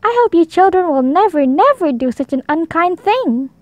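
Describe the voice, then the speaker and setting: A woman's voice: very high voice, expressive, moderate pace, darkish tone, dry recording, voice pushed up. A woman voicing a kind but firm female primary school teacher in a storybook, instructive in manner. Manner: clear, instructive.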